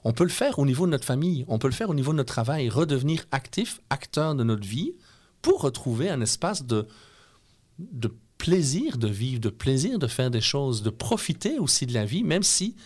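A man's voice: mid-range voice, sing-song, moderate pace, a little mellow. A middle-aged man from Belgium with a Belgian French accent, a guest in an interview explaining his ideas in an earnest, persuasive way. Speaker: guest speaker. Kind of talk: interview conversation.

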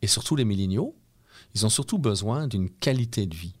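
A man's voice: fairly low pitch, expressive, brisk. A middle-aged man from Belgium with a Belgian French accent, a guest in an interview explaining his ideas in an earnest, persuasive way. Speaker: guest speaker. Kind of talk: interview conversation.

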